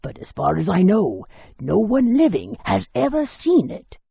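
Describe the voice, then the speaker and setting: Scholarly game lore-keeper: animated pitch, gravelly creak, helpful storyteller's delivery. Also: male voice, measured pace, no echo, clean studio sound, heavily acted. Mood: storytelling. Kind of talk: video game voice acting.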